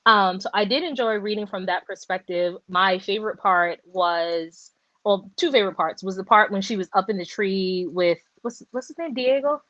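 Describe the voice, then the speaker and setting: Casual book-chat woman, rambly and lively, dry dull video-call sound. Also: medium pitch, normal speed, no room sound. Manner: rambling, animated, many fillers and restarts. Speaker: book discussion co-host.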